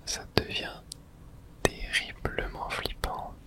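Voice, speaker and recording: A person whispering casual, hushed ASMR commentary while playing a video game, breathy and thinking aloud. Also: takes it slowly.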